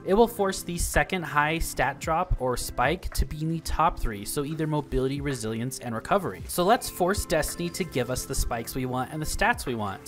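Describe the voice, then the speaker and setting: A man's voice: on the high side, fairly bright tone. A man hosting a gaming channel, explaining gear and stats in a voice-over over gameplay, upbeat, repeating words for emphasis.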